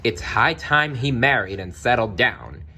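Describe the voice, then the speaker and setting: A man's voice: medium pitch, very animated, measured pace, slight background noise, heavily acted. A man voicing an impatient, stubborn old king in a storybook, blustering and emphatic. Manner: emphatic, shouting.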